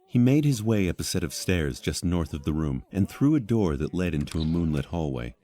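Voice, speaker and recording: A man with a deep voice narrates a fantasy audio drama. He reads from a script like a storyteller, measured and dramatic. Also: sing-song, dark tone.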